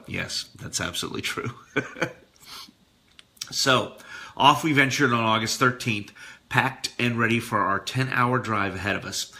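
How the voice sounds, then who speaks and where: A man's voice: lowish voice, pushed and bright. A man hosting a radio show, reading a listener's letter aloud expressively with the odd aside.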